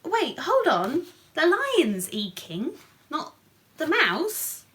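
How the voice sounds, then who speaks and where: A woman reads a picture book aloud in a bright voice at a slow, careful pace. She is expressive and playful, speaking straight to young listeners as a storyteller. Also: sits high. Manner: animated, asks young listeners questions with playful asides.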